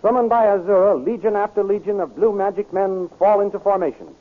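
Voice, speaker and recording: A man's voice: sits high, brisk, a little hiss or hum. A man narrating a scripted serial as a formal, declamatory 1930s radio announcer. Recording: tinny old radio recording, faint music.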